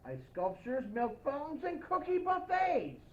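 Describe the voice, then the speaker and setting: A woman reading a children's picture book aloud as Santa, playful and expressive, speaking to young listeners with asides. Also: sits high, measured pace, dull audio. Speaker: storybook reader in the persona of Santa.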